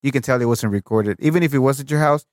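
A man's voice: medium pitch, fast, darkish tone, no room sound, clean studio sound. A male podcast host who asks long winding questions and sums up the guest's answers back to him. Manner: rambling, restates the guest's answers, lifts his voice when asking. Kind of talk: interview questions.

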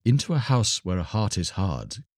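A kind-hearted fairy-tale woodcutter with a deep, husky voice, speaking earnestly and steadily. A man plays him while reading the tale. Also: sing-song, normal speed, dry recording, studio quality. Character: kind-hearted poor woodcutter, gentle but firm.

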